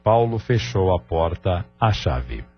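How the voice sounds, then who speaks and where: A man's voice: low-pitched, very animated, takes it slowly, exaggerated voice. A Brazilian man narrating a sentimental audio novel in a solemn, scripted style. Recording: dull lo-fi audio under music.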